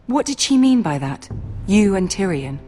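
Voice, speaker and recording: A woman's voice: mid-range voice, very animated, moderate pace, lightly acted. An actress playing a suspicious, commanding young noblewoman in a video game, formal and clipped. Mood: stern, reproachful. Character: stern, suspicious young noblewoman scolding her handmaidens. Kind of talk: video game drama acting. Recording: soft music behind.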